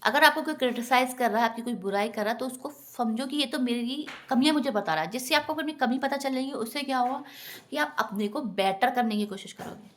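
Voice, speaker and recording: A woman's voice: high-pitched, a little fast, a bit bright. A woman giving an earnest, instructive motivational talk directly to her viewers. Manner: earnest, instructive, often addresses listeners as friends. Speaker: self-help vlogger.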